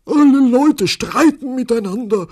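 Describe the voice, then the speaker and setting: A man's voice: very high voice, lively intonation, a little slow, a little mellow, pitched above the performer's own voice, exaggerated voice. A man voicing a frantic, despairing male train conductor in a children's story, with a strained, agitated, pleading delivery.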